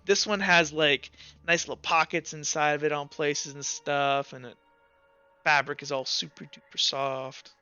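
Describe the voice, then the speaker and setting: A man's voice: a little high, steady tone, unhurried, bright, forceful tone, clear, resonant tone, dry recording, muffled recording, soft background music. A man improvising tabletop game narration as game master in a casual, rambling way.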